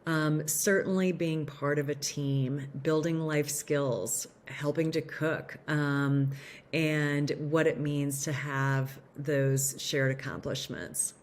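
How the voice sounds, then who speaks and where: A woman with a notably low voice speaks on a video call. She sounds upbeat as she presents a teen summer program, and she often stops on an "um". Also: steady tone, slight background noise. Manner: upbeat, with frequent "um" pauses. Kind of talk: virtual fair presentation.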